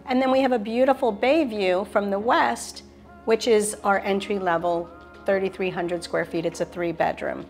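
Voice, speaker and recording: A middle-aged woman with a mid-range voice, talking through a show apartment in an upbeat sales pitch. She reels off finishes and features with polished enthusiasm. Soft music plays quietly underneath. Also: fairly even intonation, moderate pace. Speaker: sales director giving a property tour. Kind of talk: polished real estate showcase tour. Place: show apartment kitchen, indoors.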